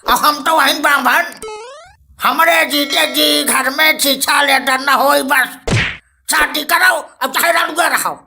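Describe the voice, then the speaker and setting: A woman playing a stubborn, scolding old grandfather in a cartoon comedy, with a rustic eastern Uttar Pradesh accent and a creaky voice. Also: high-pitched, measured pace, noisy background. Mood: indignant. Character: stubborn, hot-tempered old Brahmin grandfather.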